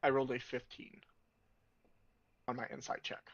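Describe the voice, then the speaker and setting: A man playing a tabletop game, talking casually in a hesitant, halting way. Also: mid-range voice, monotone, gravelly, background noise. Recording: slightly dull, lo-fi microphone sound.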